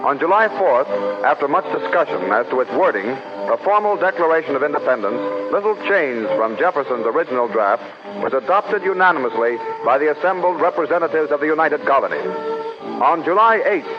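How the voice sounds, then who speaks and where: A man as a solemn narrator of a patriotic vintage radio drama. His stately, scripted voice is a bit high. The sound is muffled, with music clearly behind it. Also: animated, brisk, close to an everyday voice. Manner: formal, stately. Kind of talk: old-time American radio narration, scripted. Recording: muffled old radio recording.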